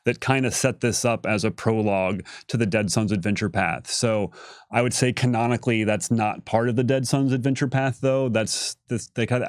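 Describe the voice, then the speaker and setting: A man with a fairly low voice chats as a panelist on a livestream. The sound is dry, with very little room to it. He answers informally and hesitantly, rephrasing as he works through a thought. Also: no room sound. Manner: hesitant, circling back and rephrasing. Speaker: panelist and creative director on a company livestream. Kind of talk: casual livestream Q&A chat.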